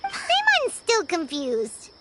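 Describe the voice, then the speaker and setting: A woman voicing a small, excitable, childlike fairy companion who speaks of herself in the third person. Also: extremely high pitch, slow pace, very noisy, heavily acted. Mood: curious.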